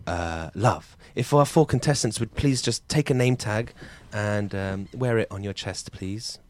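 A man's voice: fairly low pitch, measured pace, natural voice. A man putting on a smarmy game show host Dave. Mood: rambling. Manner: rambling anecdotes in a cheesy showman voice. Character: smarmy middle-aged male dating show host Dave. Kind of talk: improvised comedy skit.

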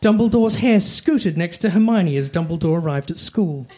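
A man's voice: high-pitched, expressive, moderate pace, mellow. A man reading comic fiction aloud like a storybook, with dramatic delivery. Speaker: reader of comic fan fiction. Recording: faint background noise and clicks.

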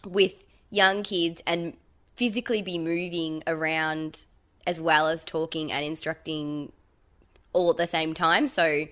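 A woman co-hosting a podcast, telling a story in casual chat with lively acted-out quotes. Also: deep voice, unhurried. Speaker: podcast co-host.

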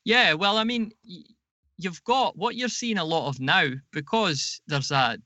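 A man's voice: high-pitched, animated, measured pace, sharp tone, dry recording. A man speaking as a podcast guest in an interview, explaining things and often correcting himself as he goes. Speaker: podcast guest, audio drama producer.

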